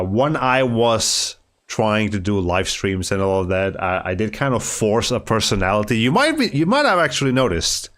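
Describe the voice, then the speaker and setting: A man speaking as a streamer, talking in a soft-spoken, halting way with many fillers and restarts, and sounding slightly nasal as he recovers from a cold. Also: on the low side. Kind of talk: reflective casual monologue.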